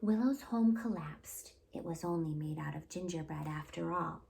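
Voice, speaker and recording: A woman hosting storytime, reading a children's picture book aloud in an expressive, deliberate storytelling delivery. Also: low-pitched, gravelly, slight background noise. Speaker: storytime host and reader.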